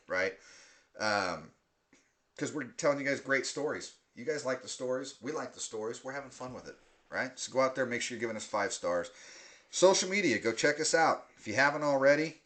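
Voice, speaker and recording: A man's voice: mid-range voice, a bit bright. Laughing, rambling podcast host man. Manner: rambling, laughs often, many fillers. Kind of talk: casual podcast chat.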